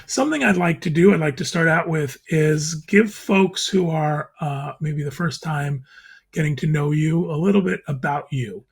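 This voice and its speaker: A man hosting a casual video panel chat, introducing guests and asking questions in a friendly, steady way. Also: on the high side, moderate pace, creaky, slightly rough. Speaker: podcast host.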